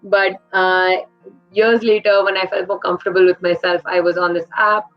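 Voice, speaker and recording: A woman's voice: mid-range voice, flat delivery, moderate pace, faint music behind. A young woman from India raised in Qatar, telling her story in a chatty, giggly way with many fillers. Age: young adult. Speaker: interview guest. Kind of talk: casual personal storytelling. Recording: dull lo-fi audio.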